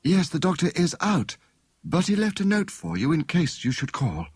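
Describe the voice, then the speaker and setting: A man's voice: on the high side, big pitch swings, normal speed, slightly rough, no echo, voice pushed up. A man voicing a lanky, polite fifteen-year-old boy in an audiobook story, speaking helpfully.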